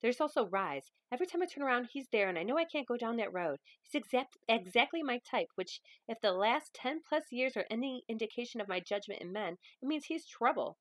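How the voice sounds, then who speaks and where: A hurt, defensive woman around thirty, played by a woman reading aloud, high in pitch and emotional. She pleads her case in a dramatic audiobook-style novel reading, with a dry sound. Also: animated, fairly quick, few pauses, dry recording, lightly acted. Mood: pleading.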